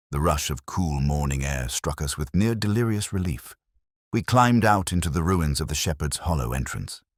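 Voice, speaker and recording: A male audiobook narrator with an extremely deep voice reads a scripted mystery dramatically. His pitch moves in lively swings, and the recording is dry and close. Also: no echo.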